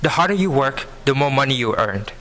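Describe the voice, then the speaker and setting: A man in his early twenties with a Vietnamese accent, giving an earnest prepared speech to an audience, phrasing deliberately. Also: medium pitch, animated, a bit bright, a little hiss or hum. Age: early 20s. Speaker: scholarship program student speaker. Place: live benefit event before an audience.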